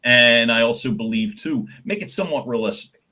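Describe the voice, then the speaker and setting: A man with a slightly husky, breathy voice gives advice in a live question and answer session. He talks with animated energy and repeats words as he gets each thought going. He has a naturally loud voice. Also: medium pitch, a little fast. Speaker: guest voice coach.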